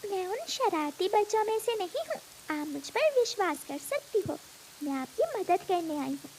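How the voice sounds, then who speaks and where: A brave, kindly little cartoon bird girl, with a very high childlike voice. She sounds helpful and earnest, over faint background noise. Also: big pitch swings, moderate pace, a little mellow, exaggerated voice. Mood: reassuring.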